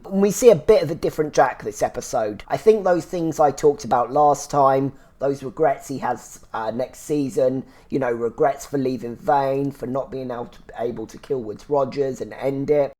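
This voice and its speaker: A man's voice: mid-range voice, moderate pace, hardly any pauses, mellow. A man hosts a casual solo review show. He sounds a little congested and phlegmy, and he talks in a chatty, rambling way with lots of "so yeah" restarts. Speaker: podcast host reviewing a TV series.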